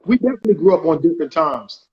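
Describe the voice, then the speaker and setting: Laid back podcast dude reading out viewer comments, kinda high voice, dull audio. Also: male voice, expressive, very fast. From United States. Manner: loose, rambling, shouts out viewers. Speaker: podcast co-host. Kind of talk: casual debate, reading comments.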